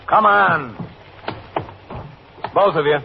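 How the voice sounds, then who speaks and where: A man's voice: a little high, drawn-out words, gravelly, exaggerated voice. A man playing an authoritative New York police captain with an American accent, clipped and matter-of-fact in an old-time radio drama. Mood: firm, commanding. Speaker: narrator and police captain character. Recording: dull, noisy vintage radio recording.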